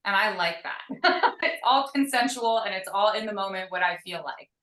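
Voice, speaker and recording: A woman guest in a casual video-call interview, talking in an energetic, upbeat way with frequent fillers from her tiny home. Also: mid-range voice, moderate pace, echoey room, rough recording. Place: tiny shipping-container home.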